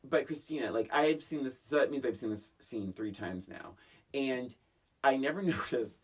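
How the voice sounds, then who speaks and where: A podcast co-host chatting casually about movies in a blunt, animated way, swearing freely. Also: mid-range voice, normal speed, husky.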